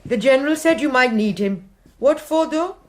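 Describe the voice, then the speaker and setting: An actress playing a blunt, nostalgic housekeeper in a radio drama, grumbling and outspoken. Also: female voice, sits high, lively intonation, moderate pace, background noise.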